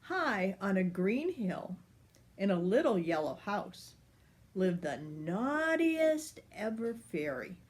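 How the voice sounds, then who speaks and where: An older woman reading a children's picture book aloud. She takes her time, and her voice rises and falls a lot, which keeps the story animated. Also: mid-range voice, slow pace.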